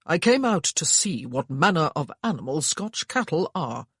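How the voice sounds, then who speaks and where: A narrator voicing an English gentleman who speaks calmly and reassuringly to strangers. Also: medium pitch, normal speed, slightly rough, no room sound, studio quality, full character voice.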